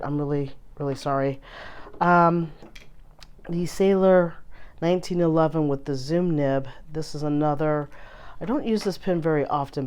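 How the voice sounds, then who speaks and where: Hoarse low-voiced woman showing pens. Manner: chatty, warm asides with filler ums. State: hoarse from illness. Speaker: fountain pen channel host. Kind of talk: casual show-and-tell vlog.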